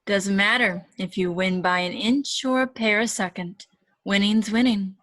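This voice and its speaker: A woman acts out a mysterious, muscular man with a kind streak. The delivery is calm, brief and encouraging, at a middling female pitch with a dry sound, as in a casual script reading. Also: unhurried, no echo, close to an everyday voice. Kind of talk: fan fiction table read.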